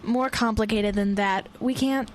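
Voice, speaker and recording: A woman's voice: on the high side, steady tone, measured pace, a little hiss or hum, lightly acted. A woman playing a blunt, wary teenage schoolkid sleuth in a radio drama. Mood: guarded, blunt.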